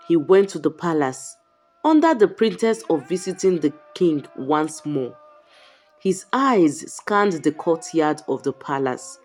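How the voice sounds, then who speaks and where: A woman with a fairly low voice narrates a folktale as a storyteller. Her delivery is slow and suspenseful, broken into deliberate short phrases, and her pitch moves expressively over background music. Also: darkish tone. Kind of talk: dramatic scripted folktale narration.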